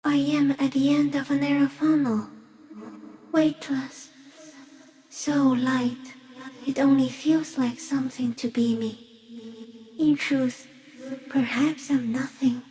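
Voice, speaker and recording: Game creature: a detached, curious stick insect. High, mellow, soft and halting. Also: female voice, little pitch movement, low-quality recording. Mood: wistful, weightless. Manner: slow, halting, deliberate musing in short fragments. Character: ancient, serene, otherworldly giant stick insect, detached and curious. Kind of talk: video game character voice acting.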